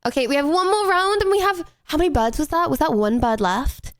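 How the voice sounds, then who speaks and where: A young British woman streaming a game, chatting casually with her chat and breaking into playful, excited exclamations. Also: sits high, fairly quick, no echo, studio quality. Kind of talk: casual gameplay commentary to chat.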